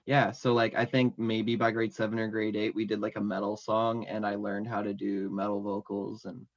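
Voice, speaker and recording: Low-voiced rambling guy on lo-fi audio. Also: flat delivery, moderate pace. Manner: rambling, heavy on fillers like "like" and "um". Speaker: musician and vocalist, interview guest. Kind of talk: casual interview chat. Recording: dull lo-fi audio.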